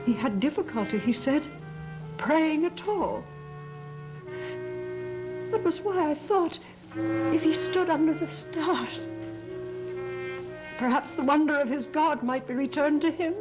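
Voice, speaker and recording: A high-pitched, slow-speaking woman in a gothic soap opera. She is distraught and guilt-ridden, pleading in halting, dramatic phrases. Loud music plays behind her. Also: expressive, rough recording. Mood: anguished.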